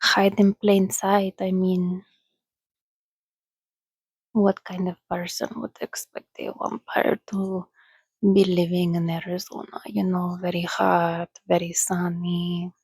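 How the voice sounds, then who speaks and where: Woman, soft-spoken ASMR host, soothing and often whispered, unhurried delivery with a creaky, close dry sound. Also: lowish voice, monotone, no room sound. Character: calm, sociable female vampire soothing a companion.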